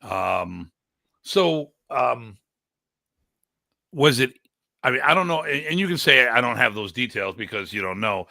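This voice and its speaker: A man's voice: mid-range voice, expressive, a little slow, creaky, pauses often, sharp tone, no echo, studio quality. A man hosting a live online show, chatting casually and interviewing a guest.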